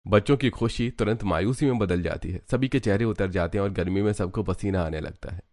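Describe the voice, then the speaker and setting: A man's voice: deep voice, a little fast, darkish tone, no echo, clean studio sound. A man reading scripted story narration for a cartoon.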